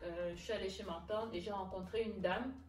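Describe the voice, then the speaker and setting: A woman's voice: mid-range voice, fairly even intonation, a little fast, darkish tone. Female interview guest, casual personal storytelling with quoted exchanges, recorded in an echoey space with music underneath. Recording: echoey room, distant mic, music underneath.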